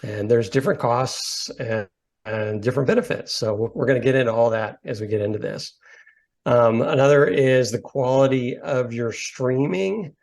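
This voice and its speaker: A man's voice: mid-range voice, normal speed, vocal fry, darkish tone. A man presenting a webinar over a video call, explaining points plainly and rephrasing as he thinks aloud. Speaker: company founder presenting.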